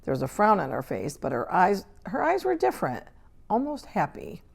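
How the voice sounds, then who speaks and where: Female storybook narrator, lower register with a creaky edge, reading aloud for children. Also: huge pitch swings, normal speed. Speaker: reader. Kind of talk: children's book read-aloud, scripted narration.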